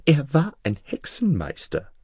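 A scheming royal vizier from a storybook tale. The voice is pitched well up, strained and whining. He drags out his words with jealous insinuation. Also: wildly swooping pitch, a little mellow, breathy edge, no room sound, studio quality, heavily acted. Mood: sly. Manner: whining, drawn-out.

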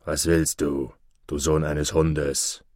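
A man voicing an arrogant, bad-tempered male wolf who talks down to the fox in a gruff, grumbling way. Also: little pitch movement, a little mellow, no echo, studio quality, full character voice. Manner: gruff, grumbling, low and slow.

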